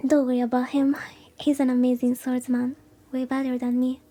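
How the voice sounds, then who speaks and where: A young swordsman from a visual novel read by a woman, wistful and full of admiration for his commander. The voice is high and mellow. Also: flat delivery, moderate pace. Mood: admiring.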